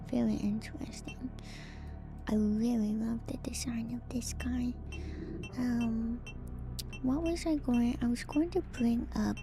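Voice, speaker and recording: A woman giving whispered ASMR commentary as a streamer, in a soft, breathy, hushed and musing way, close to the microphone. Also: on the high side. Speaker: streamer, host of a lore breakdown.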